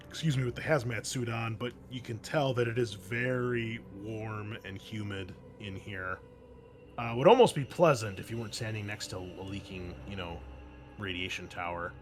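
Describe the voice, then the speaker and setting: A man's voice: fairly low pitch, a little hiss or hum. A man narrating a tabletop role-playing game as game master in a conversational way, addressing his players. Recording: music playing behind the voice. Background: background music.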